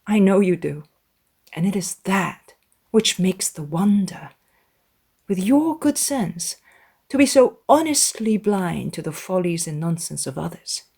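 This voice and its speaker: A woman voicing a witty, confident young gentlewoman with an arch, teasing manner in a dramatized audiobook. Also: on the low side, vocal fry, slightly rough, full character voice.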